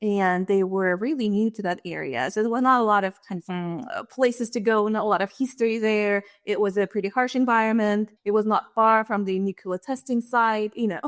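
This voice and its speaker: A woman's voice: mid-range voice, brisk, hardly any pauses, dry recording, clean studio sound. Woman from Russia, thoughtful guest. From Russia and Kazakhstan. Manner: thoughtful, laughs lightly between points. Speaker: guest, leadership strategist. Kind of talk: interview conversation.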